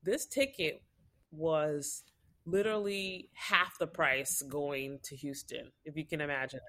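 A woman's voice: sits low, slow pace, bright, forceful tone. A young adult woman co-hosting a podcast, telling a story in a chatty way with lots of fillers. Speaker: podcast co-host. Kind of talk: casual chat.

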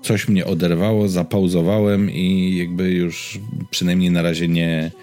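A Polish man co-hosting a podcast, chatting casually in a somewhat halting, hesitant way with frequent pauses. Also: sits low, flat delivery, warm tone. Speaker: podcast co-host. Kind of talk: casual gaming and tech podcast chat.

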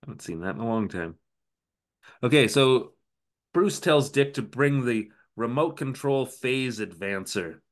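A man's voice: mid-range voice, expressive, unhurried. A man co-hosting a podcast, recapping an old TV episode in casual, sarcastic chat. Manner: sarcastic, recapping scenes with quoted asides. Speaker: podcast co-host.

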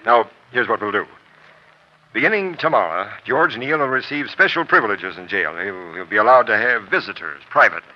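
A man playing a scheming, authoritative police detective, brisk and businesslike, in a 1940s radio crime drama. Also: lowish voice, animated, lightly acted. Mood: plotting. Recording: dull, lo-fi old radio recording.

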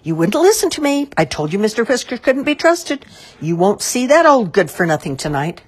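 A woman voicing a pompous, scheming townsman in a children's story, shrill and indignant. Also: expressive, brisk, darkish tone, slightly rough, full character voice. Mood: scolding, smug.

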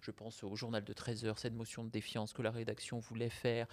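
A man's voice: on the low side, monotone, brisk. Man interviewer with long questions. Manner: probing, builds long summarising questions.

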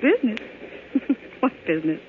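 A gushing young society bride with a high, very lively voice, coquettish and doting in old radio-drama style. The sound is tinny, like a phone line. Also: female voice, normal speed. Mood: playful. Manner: gushing, cooing, affectionate. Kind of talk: 1940s radio drama acting. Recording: tinny, faintly noisy old radio recording.

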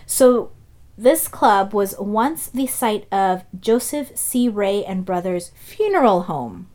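A young woman co-hosting a podcast, English not her first language, chatting casually and acting out quoted dialogue with big jumps in pitch. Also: animated, slow, darkish tone. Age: young adult. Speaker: podcast co-host. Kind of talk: casual chat and storytelling.

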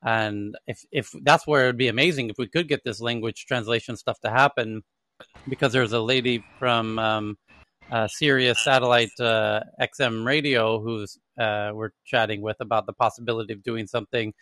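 A man's voice: mid-range voice, fairly even intonation, normal speed, bright, forceful tone. A man hosting a casual live audio chat about tech news, talking in a loose, rambling way with many fillers and restarts. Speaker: host.

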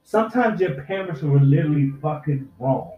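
A man's voice: on the high side, lively intonation, moderate pace. Preachy man in his forties, roomy. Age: 40s. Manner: preachy, profane life-advice lecturing. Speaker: podcast co-host. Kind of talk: casual podcast chat. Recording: roomy, dull lo-fi sound.

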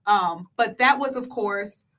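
A woman hosting a talk show in a casual, animated, slangy way, often repeating phrases for emphasis. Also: mid-range voice, takes it slowly. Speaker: show host.

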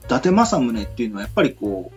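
A man from Kyushu hosting a casual radio chat, polite and wordy, circling haltingly toward his questions. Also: medium pitch, sing-song. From Kyushu, southern Japan. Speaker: radio host. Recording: muffled lo-fi audio with music behind. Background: background music.

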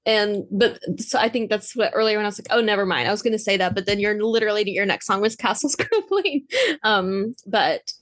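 A woman co-hosting a podcast in casual, chatty talk, quick to laugh. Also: medium pitch, no echo, studio quality. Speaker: podcast co-host. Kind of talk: casual chat.

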